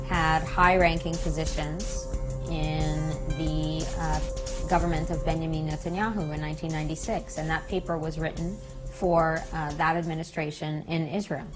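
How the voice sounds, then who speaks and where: Female, American, low register. Activist interviewee, deliberate and emphatic political commentary, music under the voice. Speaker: peace activist being interviewed.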